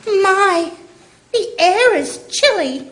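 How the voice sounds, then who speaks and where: Woman acting a slow, high-voiced radio flirt. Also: a little hiss or hum, heavily acted. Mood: coaxing. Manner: coy, drawn-out flattery. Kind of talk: old-time radio comedy acting.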